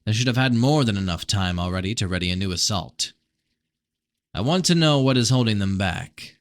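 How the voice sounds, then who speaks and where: A man's voice: lowish voice, expressive, normal speed, no room sound, natural voice. A man voicing a blunt, suspicious prince in a first-person novel reading, terse and challenging. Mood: pressing, suspicious. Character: blunt, suspicious fantasy prince interrogating his brother.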